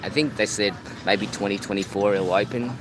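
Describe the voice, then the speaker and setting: Lowish-voiced guy streaming live off his phone outdoors, chatty and rambly, traffic noise all around. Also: fairly even intonation, normal speed. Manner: chatty, repeats words as he restarts. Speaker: travel vlogger. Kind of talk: casual live-stream chat. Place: outdoors on a beach, at a restaurant. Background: street and vehicle noise.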